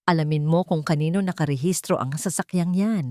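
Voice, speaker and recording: A woman's voice: sits low, brisk, a little mellow, no echo, clean studio sound, lightly acted. A woman voicing a serious, commanding wealthy patriarch in an audio story.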